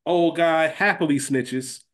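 A man's voice: fairly high pitch, slow. A man chatting casually as a podcast co-host, explaining things in an informal, slangy way. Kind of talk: casual chat, fantasy draft banter.

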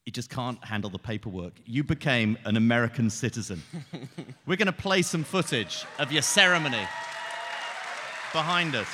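A man's voice: mid-range voice, expressive, moderate pace, a crowd in the background, noisy background. A British man co-hosting a live football show on stage with a playful delivery. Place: on stage in a large theater. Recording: reverberant theater hall.

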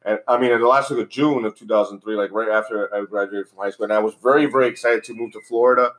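A man's voice: fairly low pitch, fairly even intonation, fast talker, fairly bright tone, poor audio. Rambling, laughing man from the Dominican Republic. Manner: rambling, laughs often, many fillers and restarts. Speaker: guest. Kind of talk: casual podcast chat.

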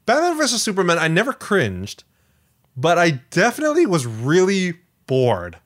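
A man co-hosting a podcast, chatting casually in an emphatic, animated way. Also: high voice, normal speed. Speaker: podcast co-host.

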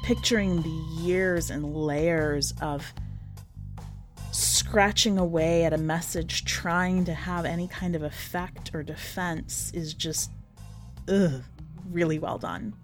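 A woman's voice: on the low side, steady tone, slow, faint music behind. A woman hosting a podcast, giving informal commentary and talking directly to listeners in a conversational way. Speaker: podcast host and editor.

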